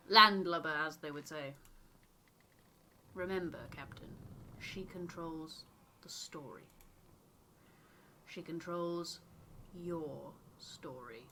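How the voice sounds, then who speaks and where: A woman voicing a guarded man advising a ship's captain in a video game, measured, formal and admonishing. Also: lowish voice, pushed and bright, slight background noise. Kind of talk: voiced video game dialogue.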